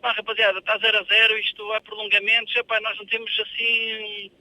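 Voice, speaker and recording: A man with a European Portuguese accent telling stories as a radio guest in an excitable, colloquial way, acting out dialogue. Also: high-pitched, fast talker, no echo, poor audio. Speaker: radio show guest, seafarer. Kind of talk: animated anecdote telling in casual chat.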